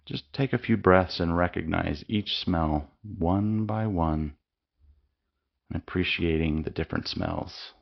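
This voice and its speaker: A man leading a guided mindfulness meditation with slow, calm, soothing instructions and long pauses. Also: sits low, gravelly, highs cut off.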